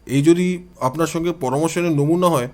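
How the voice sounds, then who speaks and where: A man's voice: fairly high pitch, a little fast, dark tone, voice pushed down, close to an everyday voice. A man voicing a skeptical, impatient police officer with a formal, questioning manner in an audio storybook. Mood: indignant.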